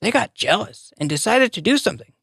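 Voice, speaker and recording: A narrator reading a scripted children's moral story in an expressive, deliberate storybook manner. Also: mid-range voice, vocal fry, slightly rough, no echo, studio quality.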